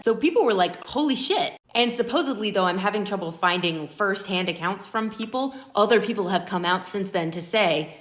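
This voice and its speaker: A woman's voice: on the low side, reverberant. A woman giving a casual, blunt and sweary recap of online drama, with a wry way of talking. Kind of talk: casual commentary to camera, story recap.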